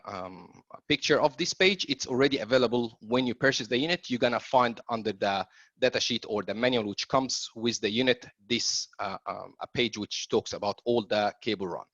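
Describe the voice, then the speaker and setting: A man's voice: mid-range voice, fairly bright tone, no echo. Hesitant man presenting, dull dry audio. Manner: hesitant, frequent long ums and uhs mid-sentence. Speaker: product trainer presenting a webinar. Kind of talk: explanatory slide presentation, loosely scripted.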